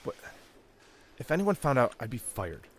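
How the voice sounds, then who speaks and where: An actor playing a naive twenty-year-old prison guard in a game cutscene, American, hesitant and deferential, prone to stammering. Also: male voice, medium pitch, sing-song, normal speed, a little hiss or hum, natural voice. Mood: uneasy. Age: young adult. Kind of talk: dramatic game cutscene acting.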